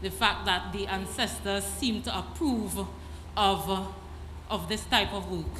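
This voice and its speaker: A woman in a festival audience asks a question from the floor in a slow, halting way, correcting herself as she goes. Her voice is bright and a little tense. The sound comes through an echoey hall. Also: medium pitch, slight background noise. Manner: hesitant, halting, self-correcting. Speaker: audience member asking a question. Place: festival venue.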